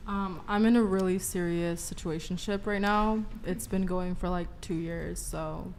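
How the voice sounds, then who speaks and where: A woman speaks casually as a panel guest in a slightly low voice. There is faint noise behind her. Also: steady tone, unhurried, darkish tone. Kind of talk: casual chat.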